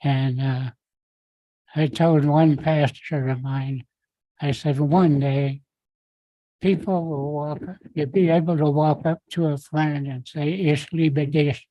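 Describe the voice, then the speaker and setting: An older man speaking at a council meeting's public comment, halting and rambling with many fillers. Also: fairly high pitch, steady tone, mellow, dry recording, highs cut off.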